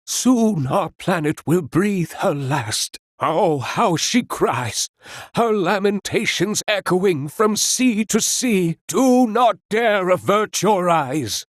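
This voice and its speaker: Grandiose high-voiced doomsday preacher. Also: male voice, lively intonation, takes it slowly, no room sound, studio quality. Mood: fervent, mournful. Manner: grandiose, solemn. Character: doom-preaching male zealot lamenting the dying planet. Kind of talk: theatrical sermon.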